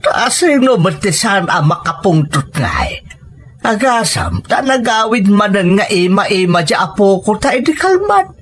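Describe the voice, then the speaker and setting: A woman's voice: medium pitch, huge pitch swings, measured pace, breathy edge, full character voice. A woman voicing a stern, resentful elderly grandfather who scolds indignantly in a dramatic family story. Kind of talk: dramatic Ilocano radio-style storytelling.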